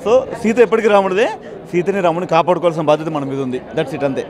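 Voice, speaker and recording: A man's voice: a little high, quick pace. A man with a Telugu accent, a filmmaker making earnest remarks in an interview. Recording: busy crowd noise behind.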